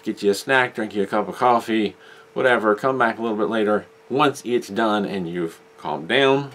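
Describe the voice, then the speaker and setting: A man's voice: fairly low pitch, fairly bright tone. A man, chatting to camera in a small room as he reviews a model kit and explains each step. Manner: chatty, explains step by step, gestures while talking. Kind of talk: casual review vlog to camera. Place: small indoor room with model-filled shelves.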